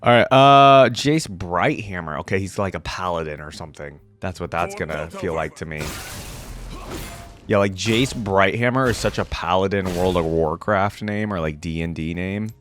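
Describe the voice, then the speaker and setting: A man's voice: low voice, moderate pace, a bit bright. A man giving casual, off-the-cuff reaction commentary as a video host, musing aloud in slangy talk. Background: music and video game sounds.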